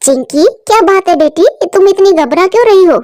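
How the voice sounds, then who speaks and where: A woman voicing a kind, motherly female crow neighbor in a cartoon story, speaking in a soothing, gentle way. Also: very high voice, expressive, fairly quick, no echo, studio quality, voice pushed up.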